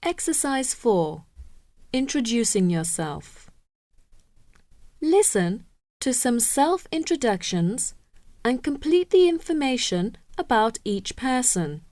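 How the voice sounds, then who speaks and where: Female textbook reader, fairly high and lively voice, slow delivery. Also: dry recording. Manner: slow, careful, clearly enunciated. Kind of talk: scripted textbook listening exercise reading.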